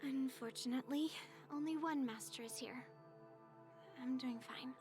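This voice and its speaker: Cocky girl swordfighter, high voice, dry teasing, game music behind. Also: a little slow. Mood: smug teasing. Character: boastful, teasing young girl sword master. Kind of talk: video game voice acting.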